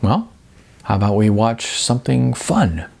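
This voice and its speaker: A man reading a novel aloud as a calm, resigned friend of almost fifty, matter-of-fact and a little tentative. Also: medium pitch, unhurried, vocal fry, heavily acted. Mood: resigned, gentle.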